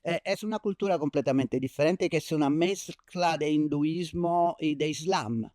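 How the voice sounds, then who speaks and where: A man's voice: a little high, normal speed, darkish tone, no echo, studio quality. A man from Italy with an Italian accent, giving a conference lecture in a non-native language, hesitant with many fillers and restarts. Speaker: keynote speaker. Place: university lecture hall.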